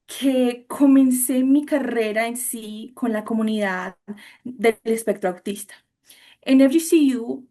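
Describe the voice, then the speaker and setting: A woman's voice: high voice, warm tone. A woman in her thirties from Colombia with a Colombian accent, speaking as an interview guest about her work, eager and fluent, slipping in English terms.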